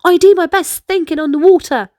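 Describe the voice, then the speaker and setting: Woman voicing an eager, high-pitched little boy. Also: fairly quick, a little mellow, no echo, clean studio sound. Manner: eager, exclaiming. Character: excited, curious young boy on a beach adventure.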